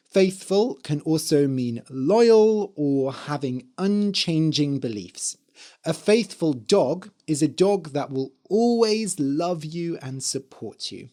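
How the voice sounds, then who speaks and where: Slow, hoarse man teaching English. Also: on the high side, a little mellow. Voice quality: spells words out letter by letter. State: hoarse, slightly dry voice. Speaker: podcast host and English teacher. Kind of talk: slow, clear teaching talk for learners.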